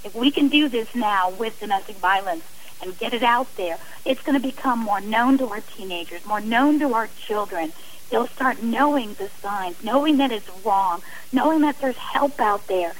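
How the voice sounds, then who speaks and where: A woman's voice: on the high side, animated, moderate pace, rough recording. A middle-aged woman giving earnest, passionate interview answers as a radio guest, speaking in long emphatic appeals. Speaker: radio interview guest, advocate against domestic violence. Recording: hissy radio interview audio.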